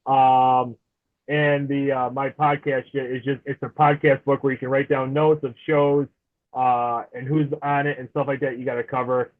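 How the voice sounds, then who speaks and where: A man's voice: mid-range voice, flat delivery, normal speed. A man in his forties, a podcast guest, talking in a chatty, friendly way and laughing easily over a remote call. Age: 40s. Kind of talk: casual interview chat. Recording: tinny phone-like remote line.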